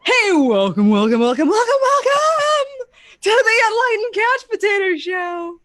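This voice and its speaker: A woman's voice: very high-pitched, very animated, unhurried, fairly bright tone, no room sound, studio quality. A woman co-hosting a podcast in casual chat, with a scratchy throat, blunt and swearing freely, laughing often. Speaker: podcast co-host.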